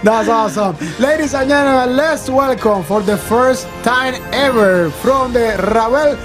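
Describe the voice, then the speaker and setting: A man hosting an upbeat morning radio show, switching between Spanish and English with an animated announcer delivery. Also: very high-pitched, measured pace. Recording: faint music bed behind. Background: faint music.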